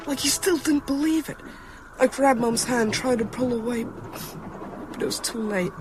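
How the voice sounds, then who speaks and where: A man's voice: very high voice, poor audio. A young male actor playing a traumatised but defiant boy, with earnest, haunted delivery in a radio horror drama.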